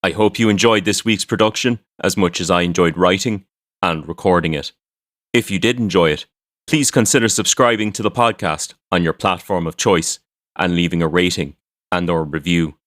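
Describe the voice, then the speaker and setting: A man with a fairly low voice hosts and narrates a fiction podcast. His delivery is scripted storytelling. The sound is dry, recorded indoors at a desk with little room echo. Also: moderate pace, a bit bright. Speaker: podcast host and fiction writer.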